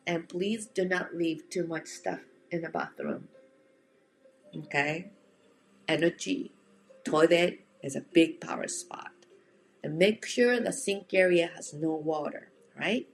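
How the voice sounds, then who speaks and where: A woman's voice: on the low side, takes it slowly, gravelly, soft background music, low-quality recording. A woman from Japan with a Japanese accent, giving casual, direct instruction as a home organizing coach and speaking to the listener in an encouraging, plain-spoken way.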